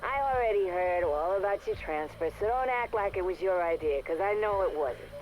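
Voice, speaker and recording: An actress playing a tough, sardonic facility worker with a blunt, sarcastic, slangy way of talking in a game audio log. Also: female voice, medium pitch, lively intonation, moderate pace, muffled recording, slight background noise, close to an everyday voice. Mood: angry, threatening.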